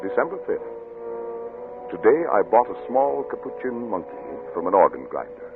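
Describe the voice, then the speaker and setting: An actor playing a grave, doomed scientist, narrating solemnly and in measured literary phrases for an old-time radio drama. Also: male voice, lowish voice, very animated. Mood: sombre recounting. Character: doomed, grave male scientist narrating his final casebook. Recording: narrow vintage radio recording with music.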